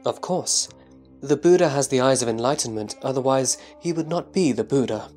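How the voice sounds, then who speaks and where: Disciple, male actor: respectful scripture replies, measured, medium pitch, light music bed. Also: darkish tone, natural voice. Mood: reverent, assured. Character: Subhuti, reverent male disciple answering his master. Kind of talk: scripted scripture dialogue recitation.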